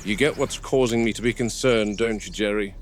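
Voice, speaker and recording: A man playing a watchful, weary dinosaur park game warden, narrating a found-footage log in a measured, deliberate way. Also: fairly low pitch, steady tone, lightly acted. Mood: concerned, resigned. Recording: noisy field recording with vehicle ambience.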